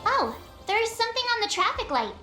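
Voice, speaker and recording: Bright high-pitched curious young traveler girl. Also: brisk, heavily acted. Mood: bubbly curiosity. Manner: animated, chatty. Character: curious, playful, expressive young female space traveler. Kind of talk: anime game dialogue. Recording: roomy game audio with light music.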